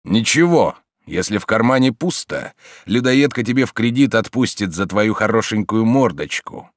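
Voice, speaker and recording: Man playing a big rough ex-con thug, loud and coarse, bullying. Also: fairly low pitch, expressive, normal speed, dry recording, studio quality, pitched above the performer's own voice, lightly acted. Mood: menacing. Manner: coarse, shouting, menacing. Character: brutish hulking male ex-convict bully, menacing.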